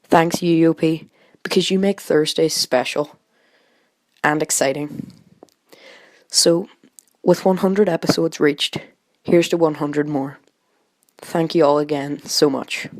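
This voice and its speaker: A woman's voice: low-pitched, fairly even intonation, unhurried, long pauses, a little mellow, dry recording. A woman earnestly reading out a grateful listener message. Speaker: listener tribute. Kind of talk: read-out message.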